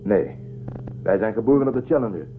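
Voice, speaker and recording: A man's voice: lowish voice, brisk, close to an everyday voice. A man playing an earnest starship commander in a science-fiction radio drama, questioning and persuasive in his delivery. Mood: earnest, explaining. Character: earnest young male starship commander searching for Earth. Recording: narrow-band muffled audio, faint music.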